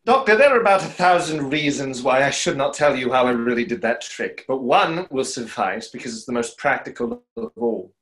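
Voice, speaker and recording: A man's voice: mid-range voice, big pitch swings, normal speed, vocal fry, hardly any pauses, a bit bright, low-quality recording. A young man playing a skeptical stage conjurer in an online play reading, with a dry, sardonic delivery. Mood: guarded. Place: small room at home, video call.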